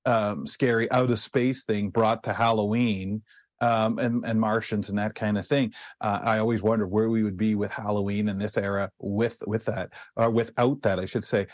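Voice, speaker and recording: A man's voice: fairly low pitch, no room sound, clean studio sound. A man co-hosting a radio show, chatting casually on air with rambling, hesitant phrasing.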